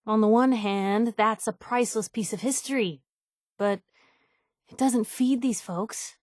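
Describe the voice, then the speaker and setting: Game companion: young female reporter, played by a woman, higher-voiced, principled and sassy, old-fashioned slangy banter, very dry close recording. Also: unhurried, darkish tone, no echo, close to an everyday voice. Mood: earnest, uneasy. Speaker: video game voice actress. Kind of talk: video game companion voice lines.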